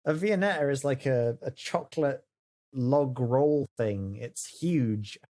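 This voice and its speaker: A man's voice: medium pitch, slow, dark tone. A man with a British accent improvising casually as a roleplay player, emphatic and given to exasperated repetition. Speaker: player in a tabletop roleplay podcast.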